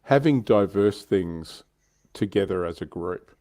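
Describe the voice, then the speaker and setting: A man's voice: low-pitched, animated, measured pace, warm tone, no echo. A man co-hosting a casual livestream about tabletop wargaming, explaining things in a conversational way. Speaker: livestream co-host.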